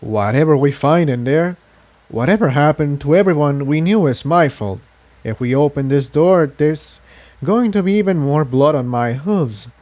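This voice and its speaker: A man's voice: a little high, animated, moderate pace, a little mellow, pitched above the performer's own voice, natural voice. A man voicing a solemn, earnest male unicorn pony in a storybook. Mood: guilt-ridden.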